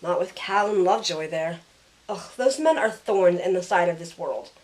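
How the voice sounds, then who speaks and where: A woman's voice: on the low side, moderate pace, lightly acted, low-quality recording. A woman playing a sardonic, loyal young heroine who narrates her own story in an audio drama. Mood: wry, exasperated.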